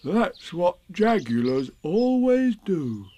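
Placid storybook bear played by a man: mid-pitched, slow and deliberate, swooping intonation, solemn, faint noise behind. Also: animated. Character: placid, slow-thinking male toy bear, solemn and kindly.

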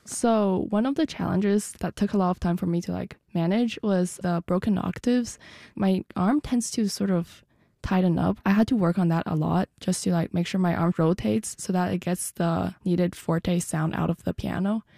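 A teenage girl being interviewed about her music, soft-spoken and giggly, with many "like" fillers. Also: fairly low pitch, normal speed, vocal fry, dry recording, studio quality. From United States. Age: teens. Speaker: guest musician. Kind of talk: casual interview.

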